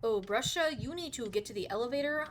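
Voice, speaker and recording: A woman streaming a game live, commentating and talking to her chat, swearing freely with excitable outbursts, her voice a little hoarse. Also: a little high, fast, a little hiss or hum. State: a bit hoarse.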